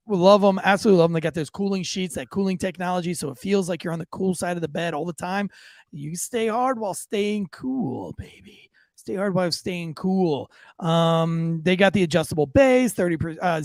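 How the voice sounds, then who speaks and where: A man's voice: on the high side, darkish tone, no echo. A man co-hosting a podcast, chatting loosely with jokes and swearing, and reading a sponsor ad with many restarts. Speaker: podcast co-host. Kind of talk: casual podcast banter and ad read.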